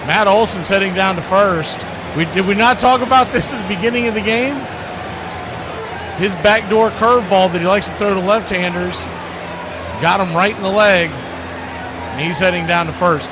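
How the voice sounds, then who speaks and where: Male baseball play-by-play host, high-pitched, folksy, chatty between excited calls, heavy background noise. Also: normal speed. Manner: folksy, shifts from conversational asides to excited calls. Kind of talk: live radio-style sports commentary with casual chat. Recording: loud steady background noise.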